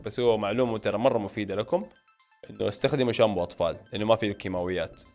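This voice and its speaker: A young Saudi man with a Hejazi accent talks very quickly in a mid-range voice. He addresses his audience directly and informally, reading questions and answering them on a close microphone, with music playing underneath. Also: mid-range voice. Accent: Saudi Hejazi Arabic. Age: late 20s. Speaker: film and series YouTuber. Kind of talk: casual Q&A to camera, reading viewer questions aloud and answering. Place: small indoor home studio. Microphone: microphone on a stand close in front.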